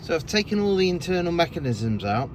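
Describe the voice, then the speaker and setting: A man's voice: fairly high pitch, very animated, a little fast. A man giving casual, hands-on DIY narration as a vlogger, talking through what he is doing. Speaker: vlogger demonstrating car fixes. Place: around and inside a car, partly at a desk. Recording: clear background noise from car outdoors.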